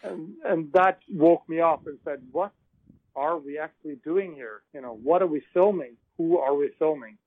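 Male director, measured hesitant delivery, slightly high voice, dry telephone sound. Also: no room sound. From Norway. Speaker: filmmaker. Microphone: phone. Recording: tinny dry phone line.

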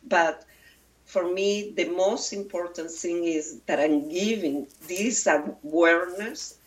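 A woman from Venezuela, a documentary filmmaker being interviewed, speaking haltingly with many restarts and fillers. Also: on the low side, far from the mic, slight background noise, poor audio.

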